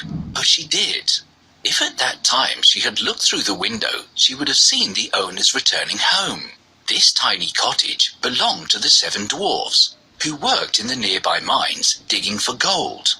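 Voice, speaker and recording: A man narrating a scripted children's story with a raspy, creaky voice. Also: on the high side, wildly swooping pitch, measured pace, sharp tone, rough recording.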